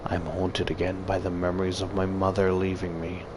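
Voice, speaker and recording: A man's voice: low voice, completely flat delivery, moderate pace, noisy background. A man reading a visual novel aloud as a gaming YouTuber, with casual, profane commentary and exclamations.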